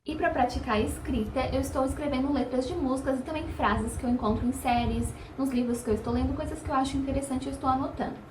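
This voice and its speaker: A woman, briskly explaining her writing practice in a casual vlog. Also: high-pitched, background noise. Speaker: language-learning host. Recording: roomy, distant from mic.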